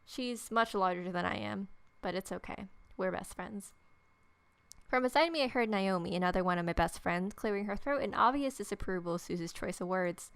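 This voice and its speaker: A woman's voice: medium pitch, moderate pace, gravelly, clear, resonant tone, no room sound. A young woman reading visual novel narration aloud, dropping in casual asides as she plays. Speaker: game player reading aloud. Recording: music playing behind.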